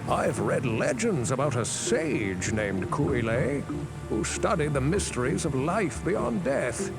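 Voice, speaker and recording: Creaky, slow-speaking old sage. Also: male voice, a little high, very animated, exaggerated voice, poor audio. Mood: thoughtful, storytelling. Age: older. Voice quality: gravelly, creaky. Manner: slow, deliberate, portentous. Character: wise elderly male sage and lore-keeper, solemn. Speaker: game character giving lore. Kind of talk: fantasy game narrative dialogue. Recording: loud game music underneath. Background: loud background music.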